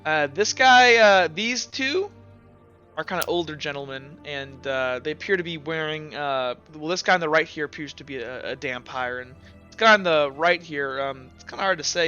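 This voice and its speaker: A man runs a tabletop game, improvising descriptions in a rambling flow. His voice sits a little high with a forward, sharp edge. The sound is somewhat dull, with light music behind. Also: brisk, clean tone. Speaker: game master.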